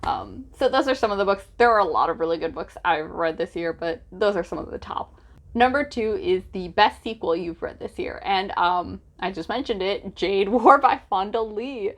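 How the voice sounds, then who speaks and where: A woman's voice: mid-range voice, animated, normal speed. A woman talking casually as a book vlogger, informal and gushing, with lots of filler asides. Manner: informal, hesitant with frequent "like" asides, gushing emphasis. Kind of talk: casual chatty book vlog.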